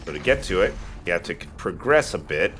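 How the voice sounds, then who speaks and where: A man's voice: low-pitched, sing-song, a little fast, fairly bright tone. A man giving casual, joking gameplay commentary in an informal way. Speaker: let's play co-host, player. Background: video game music.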